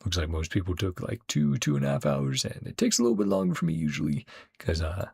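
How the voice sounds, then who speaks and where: A man's voice: medium pitch, very animated, quick pace, gravelly, darkish tone, breathy edge. A man rambling casually as he streams a game and reacts to it. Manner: rambling, chatty.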